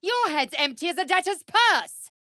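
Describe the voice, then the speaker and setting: An actress playing a fierce, proud alien warrior woman in a video game, with a raspy voice and curt, imperious, formal diction. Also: very high voice, big pitch swings, normal speed, pushed and bright, no room sound, studio quality, exaggerated voice. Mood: aggressive.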